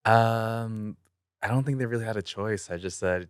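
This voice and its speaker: A man's voice: lowish voice, normal speed, smooth, no room sound, studio quality. A young man born in South Korea, a fashion company founder, chatting casually as an interview guest and laughing easily.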